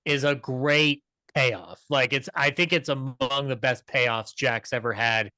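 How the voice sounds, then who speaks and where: Bright-voiced man in casual, halting discussion. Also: medium pitch, no echo, studio quality. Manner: halting, repetitive, enthusiastic. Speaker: podcast panelist discussing classic comedy episodes. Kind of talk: casual discussion, off-the-cuff review.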